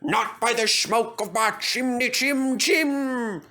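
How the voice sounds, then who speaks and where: A man's voice: very high-pitched, slow, bright, forceful tone, voice pushed up, exaggerated voice. A man voicing a nervous old male tram engine who speaks in a shaky, stammering voice for a storybook reading.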